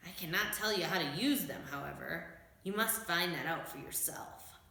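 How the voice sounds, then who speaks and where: A woman's voice: lowish voice, normal speed, vocal fry, pushed and bright, heavy reverb. A woman voicing a very old storybook wizard, meek and apologetic, who reasons and confesses mildly. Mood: mild, reassuring. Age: older.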